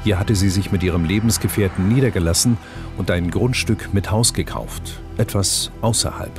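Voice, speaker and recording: A man's voice: sits low, moderate pace. A man reading scripted documentary voice-over as the announcer and narrator of a crime podcast. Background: prominent music.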